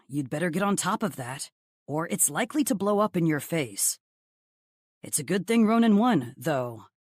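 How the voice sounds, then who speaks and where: A woman's voice: low voice, slightly rough, dry recording, clean studio sound, exaggerated voice. A woman voicing a gossipy, teasing young male best friend in a romance audiobook, effusive and blunt. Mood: gloating, blunt.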